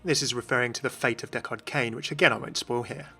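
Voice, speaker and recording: A man's voice: mid-range voice, fairly even intonation, fast, sharp tone, slightly rough. A man hosting a gaming video, giving scripted explanations in narration. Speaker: video host. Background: music.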